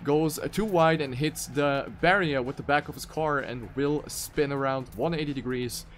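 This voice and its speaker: A man's voice: fairly high pitch, moderate pace, soft background music. A man casting an online racing game tournament live, giving play-by-play that jumps up on crashes and mistakes. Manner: excitable play-by-play, rising sharply on crashes. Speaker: esports caster.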